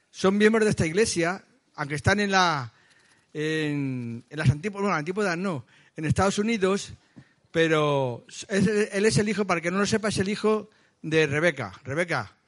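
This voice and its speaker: Male church host, Spain accent, higher-than-average pitch, conversational and slightly halting, addresses the congregation with questions and announcements. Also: a bit bright. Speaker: church meeting leader. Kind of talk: informal church announcements, greeting visitors.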